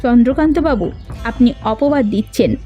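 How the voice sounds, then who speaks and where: A woman's voice: on the high side, normal speed, highs cut off, soft background music, lightly acted. A woman voicing a proud elder sister who runs a village kitchen, speaking in a stern, accusing way in a cartoon drama. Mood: angry, accusing. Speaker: voice actor.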